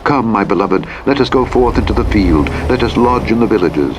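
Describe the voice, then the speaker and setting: A man narrating the Bible in a measured, solemn scripted reading. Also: fairly low pitch, animated. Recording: clear vehicle and road noise throughout. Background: truck engine and road sounds from a driving game.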